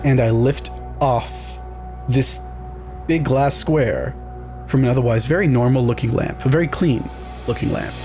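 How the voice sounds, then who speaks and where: A man's voice: medium pitch, a little slow, music in the background. A man taking part in a tabletop game, casually improvising narration of the scene.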